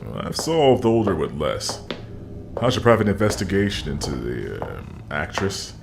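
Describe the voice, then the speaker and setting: Low, gravelly, weary detective played by a man. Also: sing-song, moderate pace, noisy background, heavily acted. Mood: weary, terse. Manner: gruff, terse, dry. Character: world-weary, dry male police detective. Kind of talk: audio drama acting.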